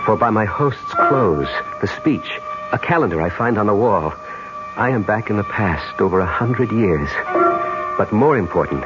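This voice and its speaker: A man's voice: fairly low pitch, natural voice. An actor playing a tormented, desperate man in an old-time radio drama, intense and melodramatic as he narrates and speaks. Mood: brooding. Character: tormented man hurled into the past, obsessed and desperate. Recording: dull lo-fi radio recording with music.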